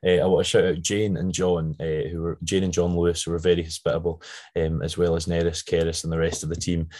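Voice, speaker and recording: A Scottish man with a low, slightly croaky voice, chatting casually and ramblingly as he introduces a podcast. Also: flat delivery, fast talker, a little mellow. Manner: chatty, rambling with frequent tangents. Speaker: podcast host.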